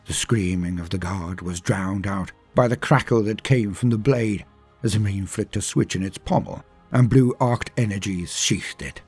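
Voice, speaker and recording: A man's voice: lowish voice, normal speed, a little mellow, slightly rough, faint music behind. A man narrating a scripted story in a dramatic, theatrical and emphatic manner. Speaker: storyteller and lore channel host.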